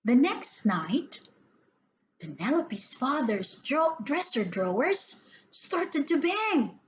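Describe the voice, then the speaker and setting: A woman reading a children's story aloud in an expressive, theatrical way, with deliberate emphasis on key words. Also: high voice, rough recording.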